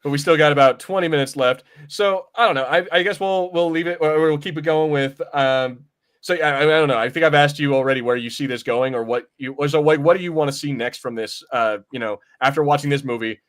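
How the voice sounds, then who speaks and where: A man's voice: a little high, a little fast. A man co-hosting a podcast, discussing films and comics in a casual, rambling way with some swearing. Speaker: podcast co-host.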